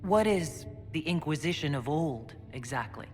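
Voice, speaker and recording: Wary dwarf heroine. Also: female voice, sits low, expressive, normal speed, a little mellow. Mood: skeptical. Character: guarded female dwarf hero, wary and questioning. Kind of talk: video game acting. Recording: echoey hall with music behind.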